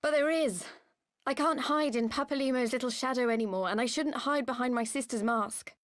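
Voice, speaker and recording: Game heroine, twenties: high voice, close dry sound, earnest and halting, baring a painful past. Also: female voice, a bit bright, dry recording. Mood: sorrowful, confessional. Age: 20s. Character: grieving young woman revealing her painful past. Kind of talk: dramatic video game cutscene acting.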